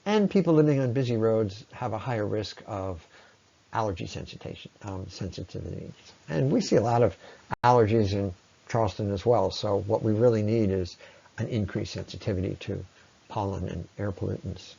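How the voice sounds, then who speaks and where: A man giving an explanatory slide presentation as a lecturer, often pausing mid-sentence as he explains. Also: on the low side, vocal fry, warm tone, breathy edge, dull audio.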